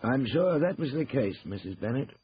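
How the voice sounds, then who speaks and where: A man voicing a stern, disapproving senior lawyer in an audiobook, formal, deliberate and pompous. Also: mid-range voice, big pitch swings, highs cut off, a little hiss or hum, voice pushed up, natural voice. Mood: coolly sceptical. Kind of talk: acted audiobook dialogue.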